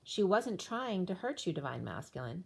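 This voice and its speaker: Woman, tarot reader, lower voice, flowing chant-like listing, voice going hoarse. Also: very animated, moderate pace, darkish tone. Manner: flowing, incantatory listing of cards and numbers. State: losing her voice. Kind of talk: spoken tarot reading.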